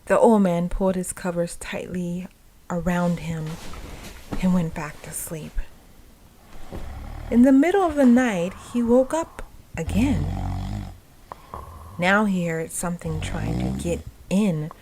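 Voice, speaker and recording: A woman storyteller narrating a ghost story in a suspenseful, expressive, dramatic style. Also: on the low side, takes it slowly, long pauses, slight background noise. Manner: suspenseful, expressive, dropping to breathy hush. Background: music.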